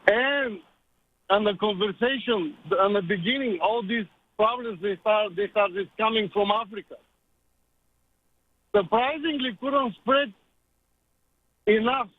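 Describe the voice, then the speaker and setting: A man with a Bulgarian accent calls in to a radio show, his voice pitched high for a man. He tells his story in halting, non-native phrasing. The audio is a dry, tinny phone line. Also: dry recording. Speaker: caller.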